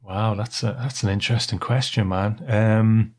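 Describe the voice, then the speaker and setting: A man's voice: on the low side, steady tone, normal speed. A man co-hosting a podcast in a casual, easygoing, conversational way. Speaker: podcast co-host. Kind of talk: casual chat.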